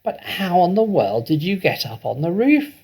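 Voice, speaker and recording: A man's voice: high voice, sing-song, normal speed, a little mellow, husky, pitched above the performer's own voice, exaggerated voice. A man voicing a flustered, startled mother who stammers and cries out in a storybook reading. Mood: shocked, flustered. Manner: stammering, crying out.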